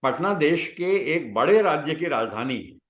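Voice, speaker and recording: A man, telling personal stories reflectively in conversation, with halting pauses between phrases. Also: a little high, animated. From Bihar, India. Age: 60s. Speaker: guest, retired scientist. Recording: roomy, echoing sound.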